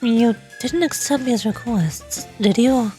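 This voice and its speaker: A woman's voice: a little high, slow, mellow, faint music behind. Stern female enforcer for a game story, acted by a woman streamer, severe, indignant, commanding. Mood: stern, suspicious. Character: stern, indignant, strict female officer of a gang.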